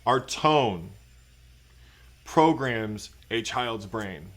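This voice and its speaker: A man's voice: medium pitch, animated, very slow. A man hosting an interview, carefully restating his guest's points. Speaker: host. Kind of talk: conversational interview.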